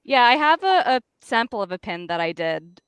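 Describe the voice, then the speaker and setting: Casual, clear-voiced woman chatting. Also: on the high side, sing-song, normal speed, a bit bright, no room sound. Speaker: guest host and series creator. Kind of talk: casual conversational pitch of own work.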